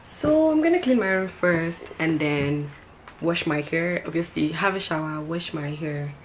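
A woman's voice: low voice, expressive, unhurried, slight background noise. A woman in her twenties chatting casually to camera in a vlog, talking to her viewers as "guys" while showing things around her small bedroom. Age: 20s. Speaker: vlogger. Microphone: camera mic at arm's length and across the room.

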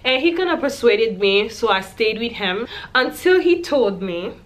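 A woman's voice: fairly high pitch, sing-song, a little slow, a little hiss or hum. A young adult woman vlogger telling a candid storytime to camera, chatty, with lots of "like" and "you know". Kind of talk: casual storytime monologue to camera. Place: small indoor room.

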